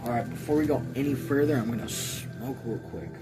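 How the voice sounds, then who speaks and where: A man's voice: mid-range voice, a little fast, rough recording. A man hosting a read-along, narrating a novel aloud with casual asides. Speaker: host reading a novel aloud. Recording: music behind.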